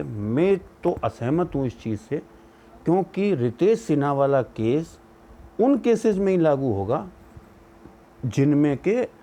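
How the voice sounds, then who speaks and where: A man's voice: on the high side, warm tone. A man giving an explanatory legal commentary, arguing through rhetorical questions and mixing in English legal terms. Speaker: legal educator giving opinion.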